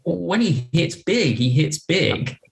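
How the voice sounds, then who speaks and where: A man's voice: on the high side, very animated, slow pace, creaky. Articulate man in an echoey room. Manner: articulate, opinionated, emphatic phrasing. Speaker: podcast guest. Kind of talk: conversational film discussion. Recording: roomy, echoing sound.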